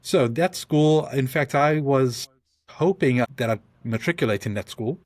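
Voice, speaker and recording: A South African man, a podcast guest, talking through his story in halting restarts and frequent ums. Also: medium pitch, dry recording. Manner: thoughtful, speaks in halting restarts with many ums. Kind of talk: conversational interview.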